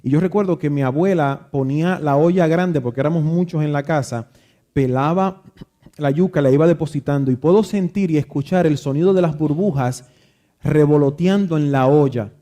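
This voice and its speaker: A man with a Dominican accent, reading scripture aloud and then telling a reflective story about growing up, pausing and restarting now and then. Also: fairly high pitch, dark tone. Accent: Dominican Spanish. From Dominican Republic. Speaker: preacher. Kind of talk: Bible reading and devotional talk.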